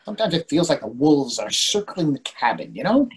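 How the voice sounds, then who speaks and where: A man's voice: fairly high pitch, sing-song, a little fast, slightly rough, heavily acted. An older man reading the part of an anxious, bossy husband in a stage play, fretful and full of questions. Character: anxious, bossy, needling husband in long marriage. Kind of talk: readers theatre play reading. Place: indoors on a video call.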